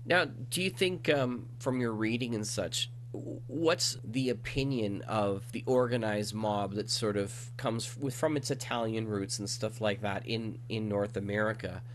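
A man's voice: fairly low pitch, unhurried, hardly any pauses, slight background noise. A man hosting a conversational interview, talking in a reflective, discursive way. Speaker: podcast host, interviewer.

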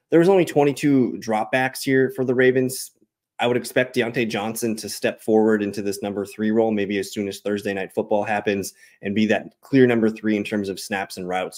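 A man in his thirties hosting a sports show, casually analyzing and reading out stats in a matter-of-fact, conversational way. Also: fairly low pitch. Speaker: fantasy football show host.

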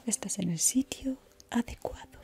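A young woman whispering softly and breathily in a slow, soothing ASMR roleplay as a barber. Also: a little high, vocal fry. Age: young adult.

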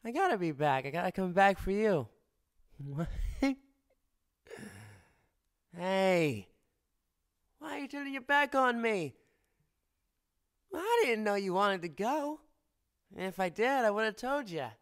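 A man's voice: high-pitched, sing-song, slow, long pauses, clean tone, full character voice. A man playing a cocky, teasing fire-wielding boyfriend. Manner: teasing, playful, drops to close whispers. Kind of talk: ASMR boyfriend roleplay.

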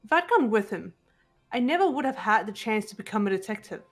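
A woman voicing a composed, guarded teenage girl detective in a video game, speaking in a measured, serious way, with bitterness held in check. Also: on the high side, big pitch swings. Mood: controlled, reflective. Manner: measured, serious, deliberate delivery. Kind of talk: amateur video game voice acting. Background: background music.

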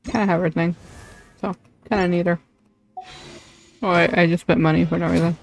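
A woman's voice: on the low side, level tone, a little fast, darkish tone. A young adult woman chatting casually on a game livestream, scattered and muttering to herself. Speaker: streamer. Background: faint game music.

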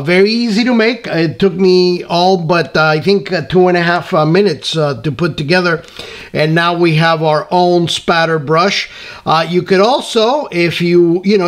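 A middle-aged man hosting a casual workbench hobby tutorial, rambling with many ums and uhs and friendly asides. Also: a little high. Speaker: scale model hobby host. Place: workbench in home workshop.

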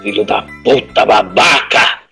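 An actor playing a menacing, foul-mouthed crime boss in an audio drama, commanding and threatening. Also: male voice, on the low side, bright, forceful tone, soft background music. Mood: contemptuous. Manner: commanding, threatening, drawn-out emphasis.

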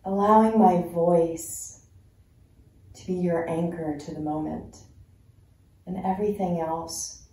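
A woman leading a guided meditation in a soothing, unhurried manner with long pauses between phrases. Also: fairly low pitch, fairly even intonation, dark tone. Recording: very echoey, reverberant sound.

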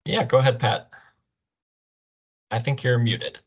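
A man's voice: medium pitch, steady tone, measured pace, husky, lo-fi sound. A young man explaining a program as a presenter in an online orientation, speaking over a video call. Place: indoor room, on a video call. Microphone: video call microphone.

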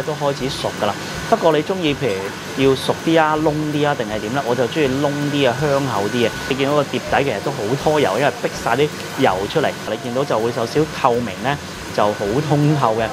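A middle-aged man hosts a food vlog with a Hong Kong Cantonese accent. His voice sits at an ordinary male pitch, and he explains things in a casual, chatty way. Clear background noise runs behind him. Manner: chatty, explanatory, frequent sentence particles. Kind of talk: casual restaurant review narration.

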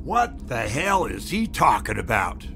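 A man's voice: mid-range voice, sing-song, measured pace, vocal fry, a bit bright, faint music behind, exaggerated voice. A man playing a crude, threatening uncle who holds a gun on the people in front of him. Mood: menacing. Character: gruff menacing uncle holding a gun, hostile. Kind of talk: audio drama acting.

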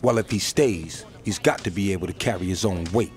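A man's voice: low-pitched, brisk, faint music behind, natural voice. A man playing a wary, blunt street gang lieutenant in a video game cutscene, talking in streetwise slang. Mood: wary, challenging.

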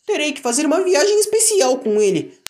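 Cheeky, easily alarmed young locomotive for a cartoon, high, whiny and exclamatory man performing. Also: very animated, a little fast, darkish tone, faint music behind. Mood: grumbling. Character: grumpy, cheeky young male tank engine, easily alarmed.

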